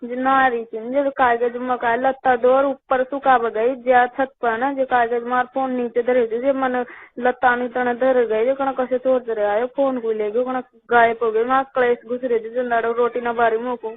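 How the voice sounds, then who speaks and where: A woman's voice: a little high, flat delivery, normal speed. A woman with a Rajasthani accent chatting casually on a phone call, complaining and repeating herself. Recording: tinny phone line call recording.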